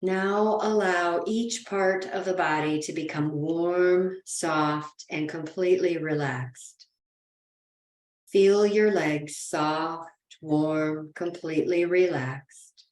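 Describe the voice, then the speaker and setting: A woman guiding a yoga nidra relaxation in a soothing, unhurried manner, with gentle pauses between phrases. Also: on the low side, fairly even intonation, reverberant. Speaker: yoga nidra meditation guide. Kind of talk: guided relaxation meditation.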